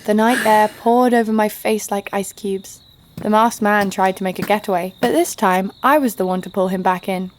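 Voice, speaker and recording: A woman's voice: medium pitch, steady tone, moderate pace, slight background noise, close to an everyday voice. A woman playing a brash, sardonic young adventurer, blunt and sarcastic, narrating her exploits in an audio drama. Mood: wry storytelling.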